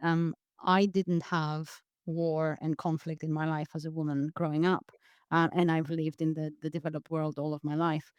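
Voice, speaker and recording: A woman from Greece speaks as a guest on a podcast, earnest and reflective, often repeating words as she restarts a thought. Her voice is smooth and resonant, and the recording is close and dry, with no room sound. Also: deep voice, level tone, normal speed, dry recording, dull audio. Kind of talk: reflective interview talk.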